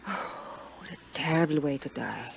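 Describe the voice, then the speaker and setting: An actress playing a scheming femme fatale who feigns innocence in a 1950s radio drama, protesting with dramatic hesitations. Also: female voice, sits low, normal speed, vocal fry. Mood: subdued. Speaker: actress in a radio detective serial. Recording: muffled, noisy 1950s radio recording.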